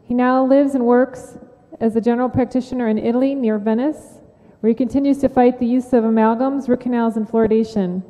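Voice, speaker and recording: Conference moderator, woman, fairly high and mellow, introducing the speaker. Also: steady tone, brisk, long reverb tail, rough recording. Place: large conference hall stage. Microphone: lectern microphone.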